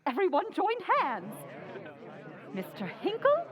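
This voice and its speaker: An actress playing a bossy, pompous society hostess who fancies herself a medium, in old-time radio drama style with grand theatrical delivery. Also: female voice, high voice, huge pitch swings, slow, lo-fi sound, full character voice. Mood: bossy, fussy. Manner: imperious, theatrical, grandly intoning. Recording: echoey hall, live audience behind.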